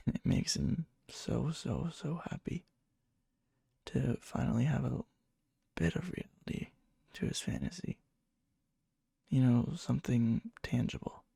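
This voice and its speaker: A man playing a needy, lovesick, obsessive young friend in a soft, breathy ASMR roleplay, hesitant and coy. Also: mid-range voice, slow, pauses often, no echo, heavily acted. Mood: dreamy, obsessive. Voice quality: breathy, soft, fry-edged. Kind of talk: whispery ASMR roleplay, intimate storytelling.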